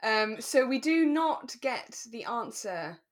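A woman's voice: on the high side, sing-song, slow pace. A woman co-hosting a podcast, chatting casually and reflectively as she reviews a radio drama. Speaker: podcast co-host.

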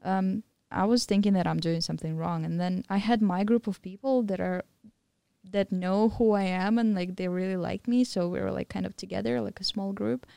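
A woman's voice: medium pitch, steady tone, normal speed, vocal fry, dark tone, dry recording. A young woman, a podcast guest of Mongolian and German background, candidly telling her story in casual chat with many fillers. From Mongolian-German, living in Thailand. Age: young adult.

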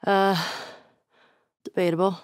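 A woman's voice: mid-range voice, little pitch movement, slow, darkish tone, clean tone, no echo, studio quality, natural voice. An actress playing a tough, wry, foul-mouthed young American woman in a video game, with a casual, muttering, sardonic delivery. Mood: wry, playful. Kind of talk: naturalistic video game voice acting.

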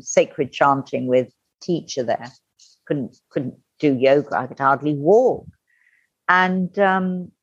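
A middle-aged woman telling her personal story as a podcast guest, candid and animated, acting out the voices she quotes. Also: low voice, dry recording. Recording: dull lo-fi dry sound.

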